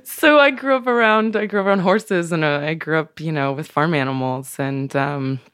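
A woman's voice: low-pitched, moderate pace, a bit bright, smooth, no room sound, studio quality. A young woman from Oregon chatting as a podcast guest, laughing easily and full of ums and restarts. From Oregon, USA. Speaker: podcast guest, wine brand manager. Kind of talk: casual interview chat.

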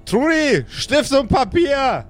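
A man's voice: extremely high pitch, very animated, takes it slowly, soft background music, voice pushed up, exaggerated voice. A man voicing a boastful, chummy undead necromancer in a fantasy tabletop audio game, slangy, stammering and repeating himself. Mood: bellowing. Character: boastful, chummy undead necromancer boss bragging about gadgets.

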